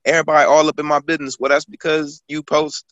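A man's voice: fairly high pitch, level tone, quick pace, a bit bright, dry recording, dull audio. A man in his twenties, a guest author and poet, talking casually in a rambling storytelling way, full of fillers and restarts. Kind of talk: casual conversation.

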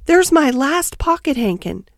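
Woman voicing a high-voiced, curious little girl. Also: sing-song, unhurried, dry recording, studio quality, exaggerated voice. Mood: excited, curious. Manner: inquisitive, direct. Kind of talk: children's storybook character voice.

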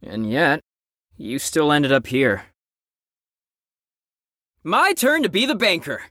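Woman acting a cocky, teasing woman, unhurried and animated. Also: low-pitched, a little hiss or hum, full character voice. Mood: taunting. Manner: teasing, sardonic. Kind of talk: audio drama acting.

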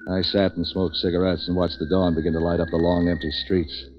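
An actor playing a street-wise, wisecracking hired tough who narrates a 1940s radio detective drama in a clipped, deadpan way. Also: male voice, low-pitched, a little fast, a little hiss or hum, lightly acted. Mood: deadpan, world-weary. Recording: dull vintage 1940s radio recording.

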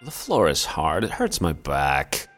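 An actor playing a skeptical, protective brother in an audio play, dry and wry or desperate and stammering. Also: male voice, extremely low pitch, huge pitch swings, a little slow, fairly bright tone, faint music behind, full character voice. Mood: wry, doubtful. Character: skeptical protective brother, growing frantic with fear.

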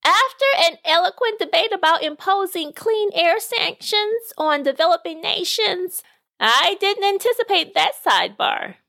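A woman's voice: extremely high pitch, animated, unhurried, creaky, bright, forceful tone, pitched above the performer's own voice, exaggerated voice. A woman voicing an amused older woman retiree from a knitting circle, chatty and tickled.

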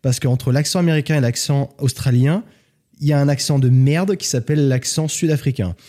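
A man from France, a podcast guest, telling stories in a relaxed, casual chat. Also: medium pitch, a little fast. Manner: relaxed storytelling, animated when joking.

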